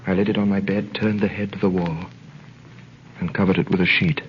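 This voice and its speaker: An actor playing an earnest prisoner recounting his ordeal, with grave, measured, dramatic 1930s radio delivery. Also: sits low, steady tone, lightly acted. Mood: grave, tense. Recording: muffled, hissy 1930s radio recording.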